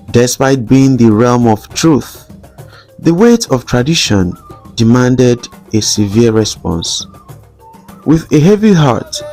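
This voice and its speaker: A man narrating a scripted folktale in a solemn, dramatic storytelling manner with measured phrasing. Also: mid-range voice, a little mellow. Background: background music.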